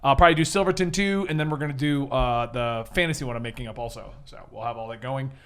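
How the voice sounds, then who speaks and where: A man speaking casually as host and game master, animated and jokey as he banters and recaps. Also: medium pitch, fast talker, clean tone. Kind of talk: casual banter and recap for a tabletop gaming show.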